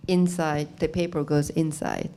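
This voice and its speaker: Woman artist explaining her work in a gallery, halting english, low-ish voice, lots of "you know". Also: steady tone, vocal fry, dark tone. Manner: halting, plain non-native English with many "you know" fillers. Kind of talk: gallery talk about her art. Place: gallery, speaking to an audience.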